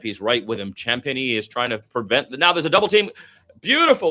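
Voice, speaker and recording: A man's voice: medium pitch, fairly bright tone. A man doing live radio play-by-play of basketball, describing the action in running detail with short exclamations and asides.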